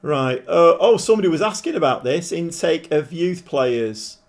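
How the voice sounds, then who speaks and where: Croaky man streaming a game. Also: a little high, expressive, moderate pace. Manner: chatty, thinking aloud, many restarts. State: hoarse, croaky voice. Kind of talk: casual live-stream commentary. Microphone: desk microphone.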